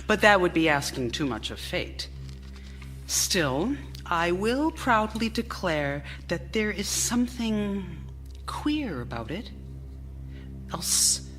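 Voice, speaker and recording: An actress playing a troubled, intelligent Victorian wife in a radio drama, speaking formally and theatrically in an anxious, confiding way. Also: female voice, on the low side, fairly bright tone, exaggerated voice. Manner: formal, measured, dramatic first-person narration. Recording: live theater recording with music. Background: music.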